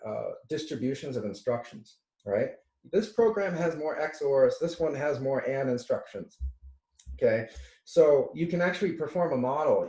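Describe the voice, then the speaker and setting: A man giving an informal lecture as a teacher, talking haltingly, correcting himself and often checking in with "right?". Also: medium pitch, mellow, reverberant, poor audio. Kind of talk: informal slide lecture with live demos.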